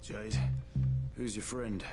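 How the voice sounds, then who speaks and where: A man's voice: on the low side, flat delivery, slow. A man playing a battered, stubborn leader of survivors in a video game, weary and blunt in manner. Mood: weary, grudging. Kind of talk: video game cutscene acting. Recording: loud music with guitar behind.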